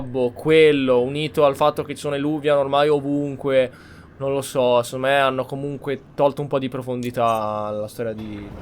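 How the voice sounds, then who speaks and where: A man's voice: medium pitch, a little hiss or hum. A man giving casual commentary as he plays and roleplays through a video game. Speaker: gamer, roleplay series host. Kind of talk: casual Let's Play commentary.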